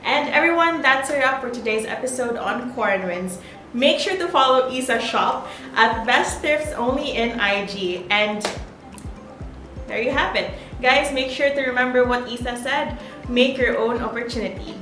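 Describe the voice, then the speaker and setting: A woman's voice: fairly high pitch, normal speed, a bit bright. A woman hosting an interview show in an upbeat presenter manner, asking questions and giving short "mm-hmm" replies. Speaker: show host. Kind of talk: hosted interview and outro. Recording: roomy recording with faint music.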